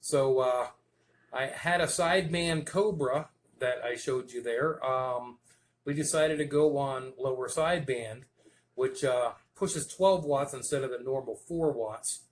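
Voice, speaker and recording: A man's voice: mid-range voice, measured pace. A man hosting a hobby video, telling stories in an informal, folksy and casual way. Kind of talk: casual vlog storytelling. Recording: clear background noise.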